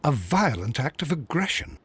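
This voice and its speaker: An actor playing a loyal, polite Victorian gentleman, a detective's inquiring companion, in a video game. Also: mid-range voice, sing-song, normal speed, slightly rough, exaggerated voice. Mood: curious. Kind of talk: scripted video game acting.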